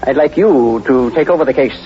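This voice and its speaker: A man playing a stuffy, middle-aged wealthy gentleman in an old radio drama, speaking formally and precisely. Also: mid-range voice, brisk, close to an everyday voice. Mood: anxious, formal. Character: stuffy, wealthy, fussy gentleman client, stepfather. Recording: muffled vintage radio, faint noise.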